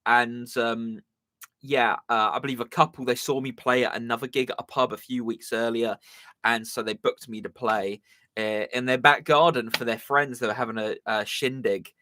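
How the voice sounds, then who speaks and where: A man's voice: mid-range voice, steady tone, normal speed, bright, forceful tone, dry recording. A young man with a southern English accent chatting casually as a musician hosting his live stream, rambling with lots of ums and uhs, his voice a bit strained. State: slightly strained voice. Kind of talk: casual chat.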